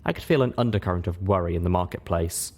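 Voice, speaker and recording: A man reading first-person story narration as an audiobook, in an expressive, dramatic way. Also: low voice, fast talker. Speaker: audiobook reader.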